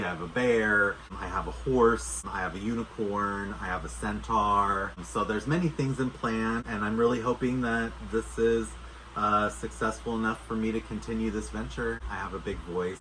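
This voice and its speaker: A man's voice: lowish voice, background noise. A middle-aged man chatting casually to camera in a sincere, enthusiastic, rambling way. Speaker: doll maker and vlogger.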